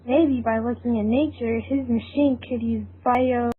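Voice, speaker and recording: A woman's voice: on the high side, steady tone, moderate pace. A woman reading scripted fan fiction narration as an amateur narrator. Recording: tinny, band-limited, noisy amateur recording.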